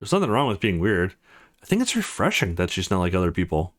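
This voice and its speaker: A man voicing a laid-back, soft-hearted young man with a casual, dry manner in a visual novel read-through. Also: deep voice, very animated, fast talker, pitched below the performer's own voice. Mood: earnest.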